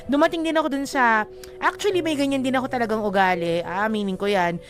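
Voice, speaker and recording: A Filipino woman co-hosting a love-advice talk show, chatting casually in an expressive, playful, emphatic and slangy way. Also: on the high side, brisk, over music. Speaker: radio show co-host giving love advice. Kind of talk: casual bantering talk, Tagalog-English mix.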